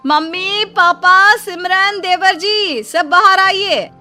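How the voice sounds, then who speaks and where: A woman's voice: extremely high pitch, slow, bright, forceful tone, soft background music, voice pushed up, full character voice. A woman voicing a sugary, enthusiastic elder sister-in-law in a cartoon family story, sweetly effusive. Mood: excited. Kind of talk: Hindi cartoon story dialogue.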